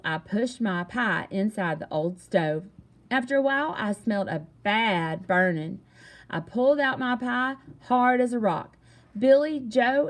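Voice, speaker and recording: A woman reading a children's story aloud in an animated, expressive way with emphatic delivery. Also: fairly high pitch. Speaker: storybook reader.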